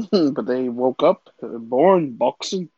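A man's voice: medium pitch, animated, takes it slowly, slightly rough, no room sound, dull audio. A man co-hosting a podcast in casual, profane banter, using a lot of bro-style slang. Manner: slangy, says "bro" often. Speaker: podcast co-host.

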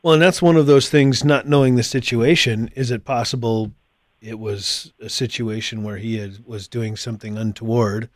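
Male host for casual podcast interview. Medium pitch, dry acoustic, jokey and responsive. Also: fairly even intonation, moderate pace, dry recording.

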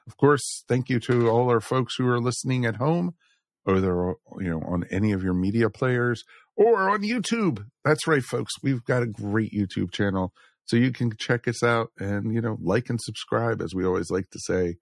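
Low-voiced American man hosting, dry sound. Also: animated, normal speed, vocal fry, no room sound. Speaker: podcast host. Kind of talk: casual, chatty podcast hosting.

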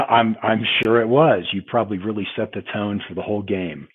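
A man with a very low, rough-edged voice hosts an interview podcast in a conversational style. He feels his way through sentences with restarts, and the sound is thin, like a phone call. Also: fairly even intonation, brisk. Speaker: podcast host. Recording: tinny phone-like line.

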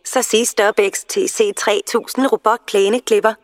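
A prim voice-assistant app from a storybook, as a male narrator puts it on, speaking in a higher, unnatural tone. It repeats its stock replies flatly and mechanically, polite but useless. The sound is dry and close. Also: no echo, natural voice. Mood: robotic polite. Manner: flat, repetitive, mechanical. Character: female robotic voice-assistant app, polite and unhelpful.

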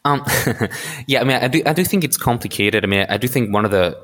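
A man's voice: medium pitch, lively intonation, fairly quick, a bit bright. A man from Morocco, a political theorist interviewed on a podcast, speaking thoughtfully with frequent restarts and hedges. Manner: thoughtful, hedging with many restarts.

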